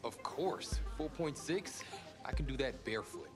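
An eager young football prospect, played by a man, with very lively pitch and a mid-range voice. He is earnest and easily flustered, with a wry streak, in a video game cutscene over clear background music. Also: a little slow, lightly acted. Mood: confident. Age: young adult. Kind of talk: naturalistic game cutscene acting.

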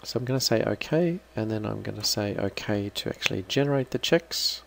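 A man giving an unscripted, halting step-by-step software walkthrough as a trainer. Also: lowish voice, lively intonation, creaky. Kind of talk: screen-recorded software walkthrough.

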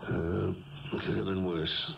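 Gravelly, wry police lieutenant. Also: male voice, sits low, little pitch movement, slow pace, background noise, full character voice. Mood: wry, lenient. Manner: casual, slangy authority. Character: wry, self-assured male police lieutenant. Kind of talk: 1970s radio drama acting. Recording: dull, distant 1970s radio recording.

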